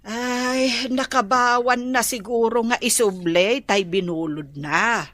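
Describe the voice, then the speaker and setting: An actress in a radio drama playing a soft-spoken, generous middle-aged village mother who talks hesitantly. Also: a little high, slow, no room sound. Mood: friendly.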